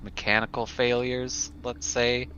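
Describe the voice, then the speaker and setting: A man playing a tabletop roleplaying game, halting and thinking aloud as he moves between table talk and casual in-character lines. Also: medium pitch, level tone. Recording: dull sound, faint noise.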